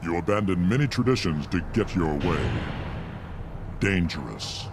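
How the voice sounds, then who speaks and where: An actor playing a haughty, hostile alien traditionalist in a video game, speaking in a scornful, accusing manner. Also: male voice, sits low, lively intonation, measured pace, music in the background. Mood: scornful, threatening. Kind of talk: video game character acting. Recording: game audio with clear background noise.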